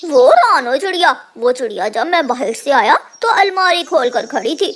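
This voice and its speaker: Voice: high voice, sing-song, moderate pace, fairly bright tone. An actor voicing a sly, self-righteous male crow in a cartoon, whining and indignant. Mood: indignant, lying. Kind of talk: animated cartoon dubbing.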